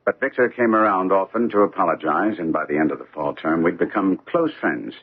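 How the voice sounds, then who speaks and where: Gentleman sleuth, precise and theatrical, swooping intonation, radio mystery, narrow muffled sound. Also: sits low, expressive, fairly quick, slightly rough, exaggerated voice. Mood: thoughtful, dry. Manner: precise, formal, theatrical phrasing. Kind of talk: radio mystery drama acting.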